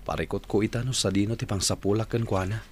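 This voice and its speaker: An actor with an Ilocano accent playing a heartbroken young man who was swindled by his lover, speaking softly in a radio drama. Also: lowish voice, steady tone, fast talker, husky. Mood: dejected. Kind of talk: 1990s-style provincial AM radio drama acting.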